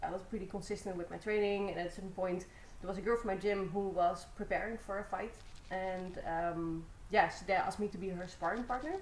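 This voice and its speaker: A young woman from the Netherlands, a Muay Thai fighter, telling her story in a relaxed, rambling way with many fillers. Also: medium pitch, brisk, few pauses, reverberant, slight background noise, low-quality recording. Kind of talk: casual interview answers.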